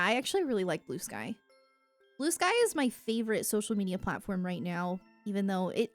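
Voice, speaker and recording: A woman with an American accent chats casually to livestream viewers in a rambling, informal, opinionated way, close on a streaming mic. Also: medium pitch. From United States. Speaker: live streamer and content creator. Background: music.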